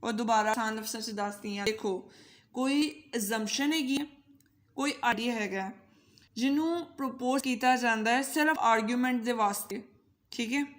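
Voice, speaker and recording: Female online tutor, Pakistani accent, fairly high voice processed by a voice changer, playful informal explainer. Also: a little slow. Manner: playful, code-switching, conversational. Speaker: teacher and channel host.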